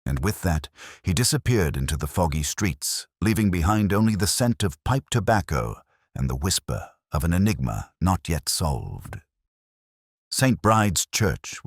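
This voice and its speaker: A man's voice: very deep voice, breathy edge, dry recording, clean studio sound. A man reading a scripted mystery story as a dramatic narrator.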